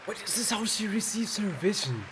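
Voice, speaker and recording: A woman's voice: medium pitch, animated, fairly quick, crowd noise, background noise. A woman giving casual, enthusiastic, exclamatory reaction commentary over a video game. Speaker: YouTube reactor. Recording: game music behind.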